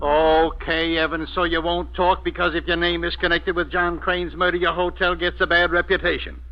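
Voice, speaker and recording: An actor playing a gruff, exasperated police inspector who talks in blunt slang, in an old radio mystery. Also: male voice, fairly high pitch, little pitch movement, normal speed, soft background music, close to an everyday voice. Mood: irritated, blustering. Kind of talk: 1940s radio mystery acting. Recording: muffled, noisy old radio recording.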